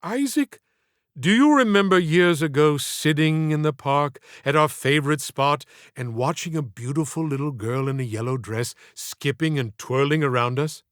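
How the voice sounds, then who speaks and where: A man's voice: on the high side, moderate pace, clear, resonant tone, no echo, studio quality, natural voice. A man voicing an ailing middle-aged stage actor in an audiobook, speaking in an ornate, reflective, wistful way. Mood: tender, wistful. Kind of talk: audiobook character dialogue.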